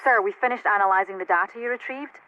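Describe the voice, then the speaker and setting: An actress playing a precise, formal military intelligence officer, giving businesslike briefings over the radio in a video game. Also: female voice, medium pitch, fast talker, music in the background. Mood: businesslike, informative. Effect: radio transmission filter. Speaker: intelligence and operations support officer. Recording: dull band-limited radio sound, faint noise.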